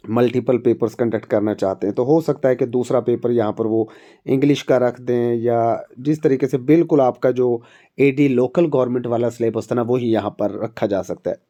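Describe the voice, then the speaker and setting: A man's voice: medium pitch, warm tone. A man with a Pakistani Urdu accent and a sore throat, giving chatty exam and job updates as an academy instructor. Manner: chatty, conversational, addresses viewers directly.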